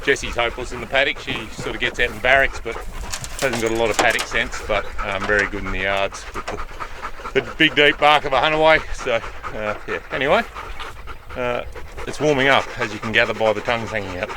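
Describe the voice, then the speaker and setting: An Australian farmer chats to the camera in an easygoing, rambling way, stopping and restarting his sentences. His voice sits at an ordinary pitch for a man. Dogs and farm noise come through clearly behind him outdoors. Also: a little fast. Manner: rambling, with many fillers and restarts; loud calls and commands to working dogs. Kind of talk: casual vlog talk to camera.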